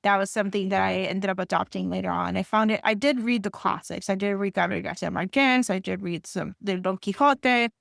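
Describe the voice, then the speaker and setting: A woman's voice: mid-range voice, a little fast, vocal fry, few pauses, no room sound, clean studio sound. A middle-aged woman from Puerto Rico hosting a book podcast, chatting with enthusiasm and rambling a little. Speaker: podcast host. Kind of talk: casual interview chat.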